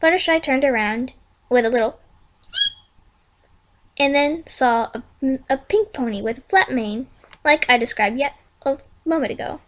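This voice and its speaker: An amateur female reader narrates a story aloud. Her voice is very high and girlish. The pitch moves around in an animated way. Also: normal speed, long pauses, slight background noise. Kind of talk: storybook narration of fan fiction.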